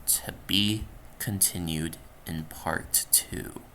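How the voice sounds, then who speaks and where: A man reading a scripted horror story as narrator, somewhat halting with stumbles, on a home recording. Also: low-pitched, steady tone, sharp tone, slight background noise. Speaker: storyteller, channel creator.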